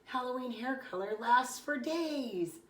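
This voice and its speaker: A woman voicing an excitable, easily embarrassed schoolboy in a children's storybook, with emphatic, theatrical delivery. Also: high voice, unhurried, pitched above the performer's own voice. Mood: glum, emphatic. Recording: roomy, echoing, distant microphone.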